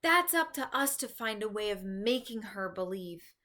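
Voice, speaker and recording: A woman voicing an excitable, determined young orphan girl with emphatic, urgent delivery in a children's storybook. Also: mid-range voice, normal speed. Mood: eager, determined. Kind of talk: children's storybook read-aloud.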